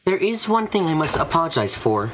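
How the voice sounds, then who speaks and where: A man voicing a solemn, dutiful female servant with formal, measured wording in a visual novel fan dub. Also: a little high, very animated, background noise. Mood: apologetic.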